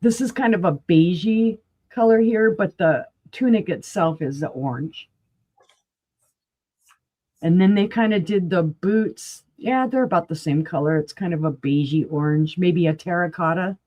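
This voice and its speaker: A woman running a live auction sale, chatty and enthusiastic, with a voice that is going hoarse. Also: fairly low pitch, measured pace, warm tone. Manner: chatty, enthusiastic, rambling asides. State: losing her voice, clearing throat.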